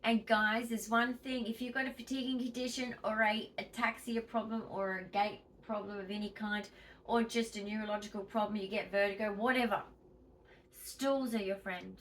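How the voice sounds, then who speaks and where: A woman's voice: medium pitch, steady tone, normal speed, a bit bright, far from the mic, slight background noise, rough recording. An Australian woman chatting casually to her viewers in a home vlog, emphatic and chatty, and a bit wheezy. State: wheezy, tight-chested. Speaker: vlogger.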